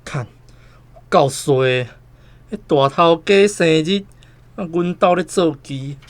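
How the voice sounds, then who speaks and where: A man's voice: on the high side, slow. An actor playing a young working-class man who drinks alone and answers naively and evasively, in a radio drama. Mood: grumbling. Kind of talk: Taiwanese radio drama acting.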